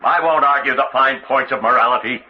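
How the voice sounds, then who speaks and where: An actor playing a cool, tough adventurer who talks tersely and wryly in an old radio mystery. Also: male voice, mid-range voice, brisk, lightly acted. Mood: cool, hard. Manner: terse, wry, coolly defiant. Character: cool, tough male adventurer leading his comrades. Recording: muffled old radio recording.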